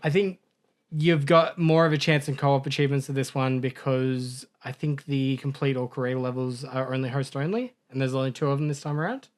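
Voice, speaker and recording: A man's voice: medium pitch, steady tone, brisk. Rambling man chatting on a gaming podcast. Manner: rambling, self-correcting storyteller who laughs at his own mishaps. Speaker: podcast co-host. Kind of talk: casual podcast chat.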